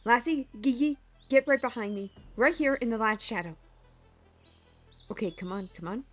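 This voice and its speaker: A woman voicing a brash, boisterous adventurer who talks gruffly, calls people lass and lad, and breaks into shouts. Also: fairly high pitch, lively intonation, moderate pace, in a large hall, soft background music. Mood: urgent.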